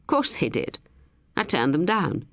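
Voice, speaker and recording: A woman voicing a steady, protective woman in an audiobook, firm and reassuring as she keeps her nerve. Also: low-pitched, unhurried, no echo, heavily acted.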